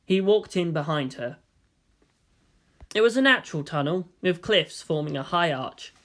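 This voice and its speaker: Fan fiction audiobook narrator: mid-pitched, scripted, expressive storytelling delivery. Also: normal speed.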